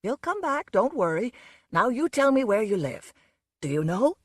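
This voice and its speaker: A woman's voice: on the high side, big pitch swings, moderate pace, no echo, clean studio sound, pitched above the performer's own voice. A woman voicing a dutiful middle-aged man with a soothing, patient manner.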